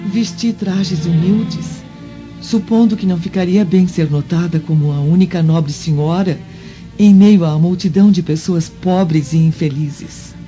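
An actress playing a humble, devout Roman senator's wife in a radio drama, speaking formally and solemnly with gentle firmness. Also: female voice, lowish voice, steady tone, unhurried, close to an everyday voice. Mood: earnest, dignified. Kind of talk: old-fashioned radio drama acting. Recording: dull lo-fi radio drama with music.

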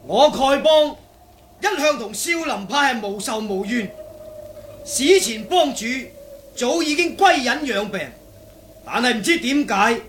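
A man's voice: very high voice, lively intonation, measured pace, a bit bright, a little hiss or hum, exaggerated voice. A man with a Cantonese accent playing an aggrieved, accusing beggar clan elder, declamatory and indignant, in a martial arts radio drama. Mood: grave accusation.

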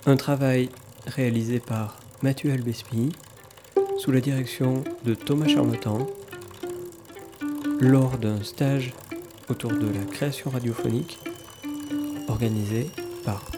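A man announces scripted radio credits at a middle pitch. Loud music with plucked strings runs behind his voice. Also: steady tone, a little slow, long pauses.

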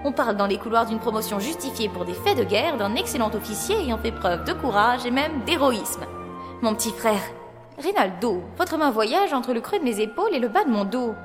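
Woman acting high-voiced, coquettish young opera apprentice. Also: expressive, normal speed, natural voice. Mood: teasing, poised. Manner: coquettish, commanding, formal period speech. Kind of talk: French audio drama acting. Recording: long reverb, music behind.

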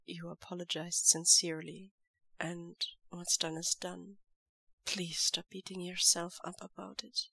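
Woman doing a reserved young man, hushed and tender, slow gravelly delivery, bright edge, dry close sound, storybook reading. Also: level tone, no echo, exaggerated voice. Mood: quiet tender. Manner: low, hushed and measured.

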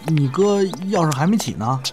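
A man's voice: on the high side, animated, normal speed, a little mellow, close to an everyday voice. A man playing an easygoing young crosstalk performer in an audio drama, chatty and teasing. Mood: easygoing, polite. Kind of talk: Chinese audio drama acting.

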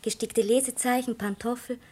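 A woman's voice: on the high side, steady tone, moderate pace, darkish tone, close to an everyday voice. A woman playing a dreamy, riddling child in a literary radio drama, talking in clipped, cryptic, poetic phrases.

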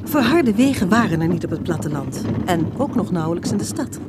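A woman's voice: on the low side, big pitch swings, brisk. A woman narrating history from a script. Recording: clear background noise.

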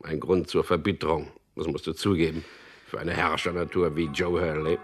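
An actor playing a wry, weary propaganda copywriter in a German radio drama, speaking in a dry, ironic way. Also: male voice, rumbling low, little pitch movement, moderate pace. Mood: teasing banter. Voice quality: husky, gravelly. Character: wry, weary male propaganda copywriter, narrating his story. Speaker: lead actor and first-person narrator.

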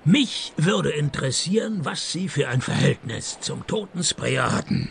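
Wry, gravelly private detective. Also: male voice, on the high side, measured pace, slight background noise, full character voice. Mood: dry, sardonic. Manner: deadpan, sardonic. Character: wry, deadpan male private detective, cynical and dry. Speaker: lead detective and narrator of the radio play. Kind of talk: radio play narration and dialogue.

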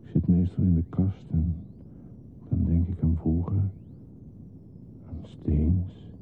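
A low, gravelly, lonely man confessing. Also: flat delivery, takes it slowly. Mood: wistful, resigned. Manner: hesitant, confiding, often near-whispering. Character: lonely disturbed man who longs to be a baby. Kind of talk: intimate radio play monologue. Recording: muffled lo-fi recording with hiss and rumble.

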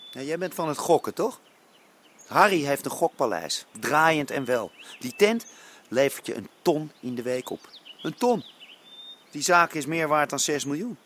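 An actor in an audio drama playing a stubborn underworld negotiator, blunt and insistent. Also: male voice, on the high side, animated, measured pace, a bit bright, a little hiss or hum. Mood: defiant, urgent. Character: stubborn male underworld go-between bargaining for a captive.

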